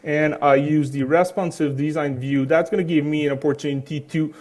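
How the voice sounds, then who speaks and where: A man's voice: on the high side, fairly even intonation, fairly quick, darkish tone. A man with a French accent in his thirties, giving a chatty, joking conference talk in a hall, with many fillers. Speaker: conference speaker.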